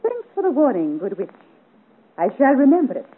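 A woman's voice: high-pitched, wildly swooping pitch, a little fast, a little hiss or hum. An actress playing a proud, ambitious marchioness in her thirties with an imperious, grand manner in a 1950s radio serial. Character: haughty ambitious 37-year-old marchioness, royal favourite of France. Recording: muffled phone-like old radio recording.

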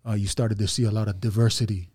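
A man talking as a podcast guest in a relaxed, chatty way, with lots of fillers and asides. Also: fairly low pitch, quick pace, husky, no echo, clean studio sound. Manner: laid-back, chatty, many fillers and asides. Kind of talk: casual podcast chat.

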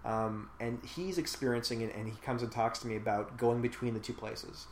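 A man's voice: medium pitch, fairly even intonation, moderate pace. Man talking at length in a roomy space. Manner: thoughtful, talks at length with fillers. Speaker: podcast host discussing a film. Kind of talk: casual discussion. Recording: echoey room with faint noise.

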